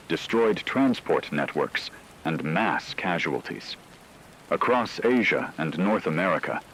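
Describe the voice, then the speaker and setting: A man's voice: low voice, a little hiss or hum, low-quality recording. A man playing a grave radio anchor who reads scripted war news in a solemn, portentous and formal way. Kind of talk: scripted dramatic news narration.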